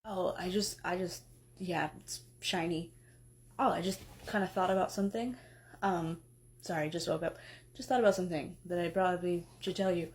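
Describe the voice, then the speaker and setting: A woman voicing a sleepy, slow-witted male god who mumbles, hesitates and apologizes. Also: on the low side, fairly even intonation, a little mellow, voice pushed down, close to an everyday voice.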